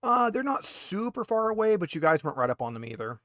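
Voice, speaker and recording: A man's voice: sits high, very animated, quick pace, fairly bright tone, no room sound. A man talking casually as game master, explaining rules and narrating a tabletop game in an informal, slightly hesitant, patient way.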